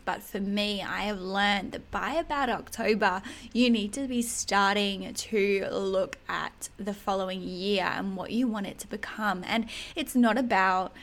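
An Australian woman with a slightly husky voice, talking candidly and emphatically in a casual solo podcast monologue. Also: mid-range voice. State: throat a bit hoarse in early morning. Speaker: podcast host.

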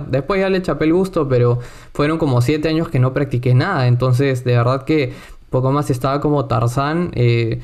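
A man hosting a casual chat, telling travel stories in an animated, joking way. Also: a little high, clear, resonant tone. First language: Spanish. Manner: chatty, animated storyteller with playful asides.

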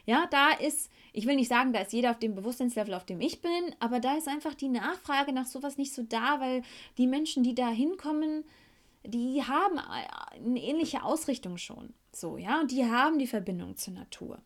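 Higher-voiced woman musing aloud. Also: animated, moderate pace. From Germany. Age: 30s. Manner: reflective, searching for words, soft and earnest. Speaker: podcast host and tantra teacher. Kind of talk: personal podcast monologue.

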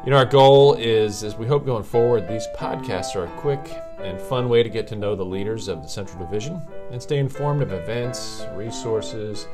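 A man's voice: on the low side, moderate pace, hardly any pauses, over loud music. Upbeat man opening a podcast. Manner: upbeat, filler-heavy delivery. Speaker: podcast co-host, hospital medical officer. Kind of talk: scripted podcast intro.

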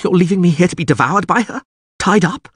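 A man's voice: medium pitch, animated, measured pace, breathy edge, no echo, clean studio sound, voice pushed up. A man voicing an agitated, haughty nobleman in an audiobook, with a strained, raised voice. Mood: outraged. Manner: sneering, blustering, agitated. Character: haughty, furious nobleman, frightened and pleading when bound. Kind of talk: audiobook character acting.

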